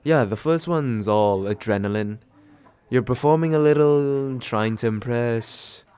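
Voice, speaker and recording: A man playing a nervous, kind guy on a second date, speaking haltingly and reassuringly in an ASMR comfort roleplay. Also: medium pitch, smooth, close to an everyday voice. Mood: tender, unsure. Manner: hesitant, soft-hearted, self-deprecating, halting with small pauses. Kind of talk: ASMR comfort roleplay, acted as casual chat.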